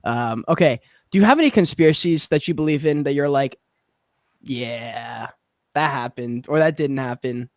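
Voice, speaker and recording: A man's voice: medium pitch, animated, moderate pace, no room sound, clean studio sound. Laid-back young man hosting. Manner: laid-back, swears casually. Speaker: podcast host. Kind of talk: casual interview chat.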